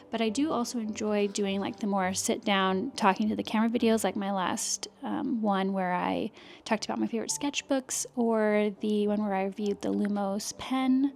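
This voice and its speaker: A soft-spoken woman chatting casually to camera in her home art studio, talking through her work with lots of fillers. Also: medium pitch, vocal fry, a little hiss or hum. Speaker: illustrator vlogger. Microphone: external microphone.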